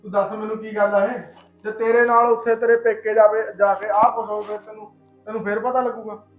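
A man's voice: sits high, level tone, brisk, roomy sound, highs cut off, faint music behind, natural voice. A man playing a stern, protective elder brother and head of household in a family drama, insistent in manner. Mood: angry, reproachful. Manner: insistent, reproachful. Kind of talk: Punjabi domestic drama dialogue.

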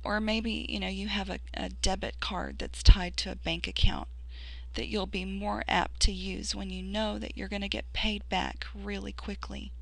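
A woman's voice: medium pitch, little pitch movement, normal speed, vocal fry, low-quality recording. A woman who has lost her voice, giving a casual screen-recorded tutorial as a sponsor, earnest and encouraging with frequent fillers and restarts. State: losing her voice.

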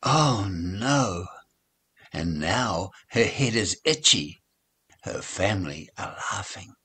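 An older man reading a children's story aloud, with expressive, deliberate phrasing. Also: low voice, husky. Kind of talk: children's read-along story narration.

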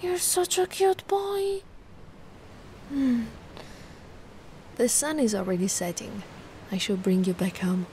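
A woman's voice: fairly high pitch, slow pace, long pauses, dark tone, slight background noise. A woman from Italy playing a giant, affectionate, bubbly young mermaid in a cutesy, cooing ASMR roleplay. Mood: gushing, doting. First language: Italian. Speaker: roleplay performer.

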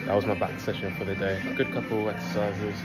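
A man's voice: fairly low pitch, fast, over loud music. A young man in his twenties, a footballer, talking casually to a phone camera from his car, chatty and full of fillers. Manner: relaxed, chatty, many "like" fillers. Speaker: footballer vlogger. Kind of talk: casual vlog talk to camera. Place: inside a parked car. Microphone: phone camera at arm's length.

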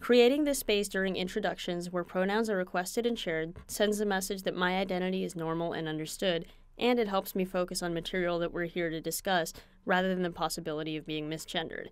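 Smooth, calm voice, earnest testimony. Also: medium pitch, fairly quick, hardly any pauses, no room sound. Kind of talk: scripted testimony.

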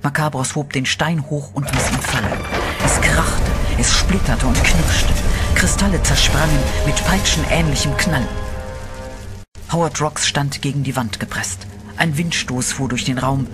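A man narrating a horror radio play in a steady, dramatic storytelling voice, with eerie music behind him. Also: a little high, measured pace.

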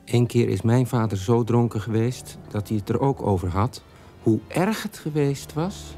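An actor playing the bitter adult son of a killer, speaking in a mocking radio-play monologue. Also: male voice, mid-range voice, mellow, natural voice.

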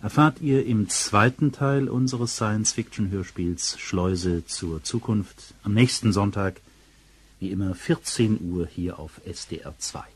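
Low-voiced, slightly husky male announcer. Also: measured pace. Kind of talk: scripted radio narration.

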